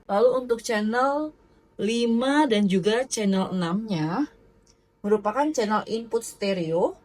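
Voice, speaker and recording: A woman's voice: medium pitch, a little mellow, rough recording. A woman narrating a product demo in an explanatory, instructional way. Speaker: product presenter.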